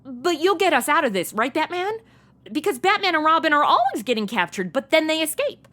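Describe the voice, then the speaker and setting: An irreverent young woman in a comedy radio play. Her voice sits high and swings animatedly as she chats away. She is sassy and flippant, with casual modern phrasing. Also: big pitch swings, fairly quick, bright, forceful tone, heavily acted. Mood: anxious, hopeful. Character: flippant, chatty young woman posing as Robin. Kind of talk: comedy audio drama acting.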